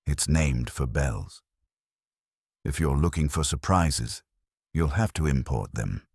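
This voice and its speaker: A man's voice: very deep voice, lively intonation, slow, slightly rough, no room sound, studio quality, heavily acted. A man playing a polished society club grandee who speaks with cool condescension in an audiobook mystery.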